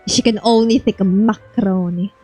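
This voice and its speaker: A woman's voice: mid-range voice, fairly even intonation, moderate pace, dark tone, faint music behind. A woman giving casual, blunt and slangy reaction commentary as she plays a video game. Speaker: streamer playing a video game.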